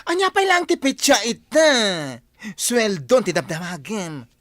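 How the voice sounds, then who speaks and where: A woman's voice: on the high side, huge pitch swings, unhurried, no echo, clean studio sound. A woman with an Ilocano accent playing a breezy, bossy young office friend in a radio drama, exclaiming and coaxing. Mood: breezy, teasing.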